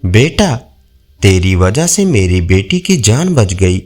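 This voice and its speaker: A man's voice: fairly low pitch, sing-song, takes it slowly, a little mellow, pitched below the performer's own voice, exaggerated voice. A man voicing a kindly, all-knowing old village woman who reassures like a mother in an audio folk tale. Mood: grateful.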